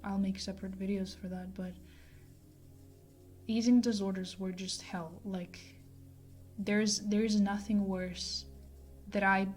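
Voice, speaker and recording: A woman in her twenties giving a personal talk to camera in a halting, reflective way, often pausing mid-sentence. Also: mid-range voice, little pitch movement, a little mellow, soft background music. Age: 20s. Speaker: vlogger sharing a personal testimony.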